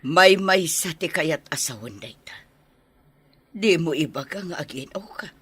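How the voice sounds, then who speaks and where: A woman's voice: deep voice, expressive, unhurried, fairly bright tone, slightly rough, no echo, full character voice. A woman playing an anxious middle-aged village mother in a radio drama, probing and fretful. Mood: matter-of-fact. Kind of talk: radio drama acting in Ilocano.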